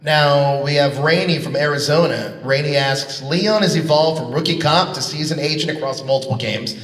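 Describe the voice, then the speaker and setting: A man's voice: a little high, moderate pace, fairly bright tone. A man moderating a convention panel, posing interview questions to guests. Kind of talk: interview questions, reading out audience questions. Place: large convention panel hall. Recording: echoey hall, distant PA sound.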